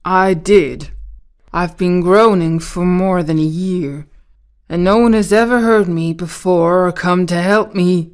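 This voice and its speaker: A woman voicing a polite, sad man made of tin in a storybook, speaking in a smooth, courteous, deliberate way. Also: lowish voice. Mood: plaintive.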